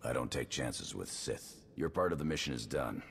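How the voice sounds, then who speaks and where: An actor playing a cold, ruthless military commander in a video game, curt and authoritative. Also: male voice, a bass voice, normal speed, vocal fry, slight background noise, full character voice. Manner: flat-hearted, curt, authoritative. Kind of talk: video game cutscene acting.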